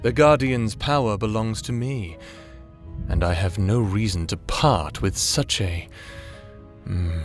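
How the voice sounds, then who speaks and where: A menacing conqueror of a fantasy audio drama, acted by a man, with a lowish voice and very slow, deliberate delivery. He is possessive and haughty, phrasing everything formally and looking down on whoever he addresses. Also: soft background music. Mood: smug, menacing. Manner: formal, imperious, deliberate, condescending. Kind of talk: fantasy audio roleplay acting.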